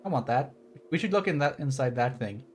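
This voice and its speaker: A man's voice: mid-range voice, lively intonation, a little fast, background noise. A man streaming a game, chatting casually and rambling, easily surprised by what he sees. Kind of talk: casual live commentary.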